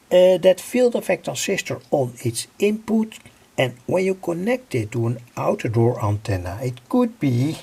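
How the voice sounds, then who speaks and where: Guy explaining electronics stuff, halting, really slow, creaky voice, keeps using filler words. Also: on the high side, darkish tone. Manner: halting, repeats filler phrases like "say". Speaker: presenter of electronics project videos. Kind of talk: informal technical explanation. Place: at home, indoors.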